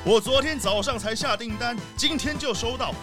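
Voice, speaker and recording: A man's voice: very high voice, moderate pace, music in the background. A man playing a vain, impatient rich leopard in a children's audio drama, self-important and dismissive. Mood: pleased, boastful. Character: vain, impatient, rich male leopard big shot, a father.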